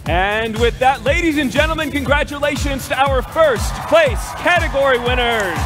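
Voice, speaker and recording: A man in his thirties hosting an awards ceremony on an arena stage, speaking formally into a headset mic and building to emphatic, loud announcements of winners' names. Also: very high voice, expressive, slow, over loud music. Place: large arena stage before a big audience. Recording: long echo of a big hall.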